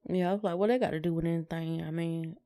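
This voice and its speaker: A woman's voice: lowish voice, fairly even intonation, fast, mellow, smooth. A middle-aged American woman co-hosting a podcast, chatting casually in a tentative, colloquial way. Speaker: podcast co-host. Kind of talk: casual chat.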